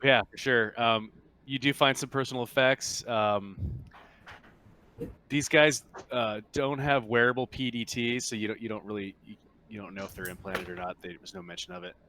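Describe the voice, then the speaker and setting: A man running a tabletop roleplaying game as game master, narrating casually and haltingly as he searches for words. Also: mid-range voice, vocal fry, bright, forceful tone.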